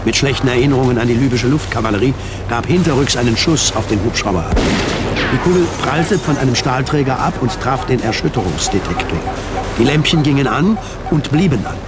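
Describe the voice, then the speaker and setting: A man's voice: medium pitch, animated, fairly quick, very noisy, natural voice. A man with a standard German accent narrating a science fiction radio drama in a scripted, dramatic style.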